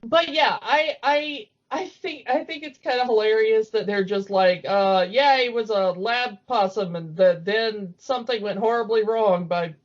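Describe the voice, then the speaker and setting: A woman's voice: fairly high pitch, unhurried, hardly any pauses. A woman co-hosting a podcast, chatting casually about cartoons, recorded in dull lo-fi audio. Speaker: podcast co-host.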